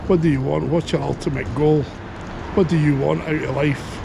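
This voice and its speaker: Male interviewer, gravelly, encouraging, outdoor street ambience. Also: on the high side, steady tone, moderate pace. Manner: encouraging, frequent "aye" and "right, right". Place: outdoors at a city railway station. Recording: street noise behind.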